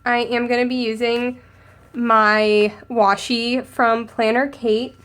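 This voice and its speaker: A young woman with a cold, a little hoarse and sniffly, chatting casually as she narrates decorating her planner. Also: on the high side, flat delivery, takes it slowly, fairly bright tone. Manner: chatty, upbeat, thinking aloud while crafting. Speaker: planner vlogger.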